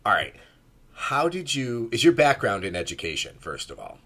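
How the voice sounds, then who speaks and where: A man's voice: medium pitch, sing-song, moderate pace, fairly bright tone. A man hosting a podcast, asking questions eagerly and a little scattered in his enthusiasm. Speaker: podcast host. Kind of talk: interviewer questions.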